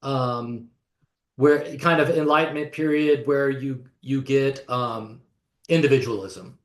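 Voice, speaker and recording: A man from the United States, a podcast guest, explaining ideas in a thoughtful, unhurried way with frequent pauses. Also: medium pitch. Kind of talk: casual conversational discussion. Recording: echoey room.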